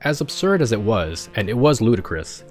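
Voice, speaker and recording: A man with a fairly low voice reads a story aloud like an amateur narrator, with casual delivery. He now and then trips on a phrase and repeats it. Soft guitar music plays behind him. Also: big pitch swings, normal speed. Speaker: reader of fan fiction, channel host. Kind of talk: expressive amateur story reading with casual asides.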